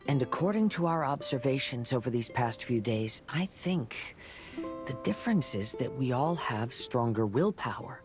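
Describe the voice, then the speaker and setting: A man playing a calm, cautious young swordsman in a video game, speaking in a measured, reflective way. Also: medium pitch, over music, lightly acted. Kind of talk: video game cutscene acting.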